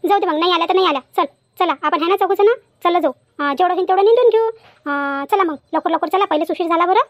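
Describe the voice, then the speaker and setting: A woman's voice: very high voice, fast, dry recording. A woman voicing a bossy, fussing village auntie in a cartoon comedy, grumbling and chatty, with a Varhadi Marathi accent. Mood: hurrying. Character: bossy, fussing village auntie, caring about her workers.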